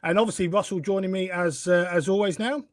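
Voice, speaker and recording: A man's voice: sits high, fairly quick, no room sound, clean studio sound. A man hosting a podcast, asking questions in a casual way and often stumbling over and repeating his words. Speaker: podcast host. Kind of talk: casual interview chat.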